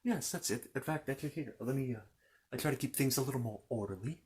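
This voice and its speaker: A man's voice: medium pitch, quick pace, darkish tone, slight background noise, close to an everyday voice. A man playing a fussy, courteous storybook keeper of histories in an ASMR roleplay, hesitant and rambling as he tells his tale. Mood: fussy, musing. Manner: hesitant, rambling, apologetic, repeats himself. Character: fussy, courteous Narnian bookkeeper recounting his world's history.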